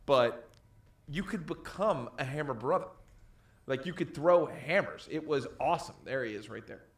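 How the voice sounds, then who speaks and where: A man's voice: a little high, expressive, normal speed. A man co-hosting a podcast, giving casual, emphatic commentary on video games in a geeky way. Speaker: podcast co-host.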